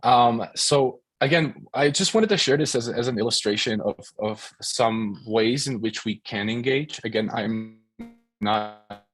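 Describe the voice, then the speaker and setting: A man from the Czech Republic, a filmmaker speaking on an online panel, halting with many restarts and repeated words. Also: medium pitch, no echo, rough recording. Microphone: video call.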